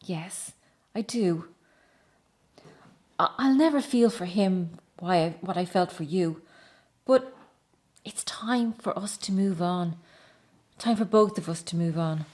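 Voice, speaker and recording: A middle-aged estranged wife and mother in a radio play acted by a woman, earnest and wounded. She speaks haltingly and with feeling, as if confessing, sounding remorseful and a little defensive. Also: on the low side, a little mellow. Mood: pained, confessional. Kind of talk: radio drama acting.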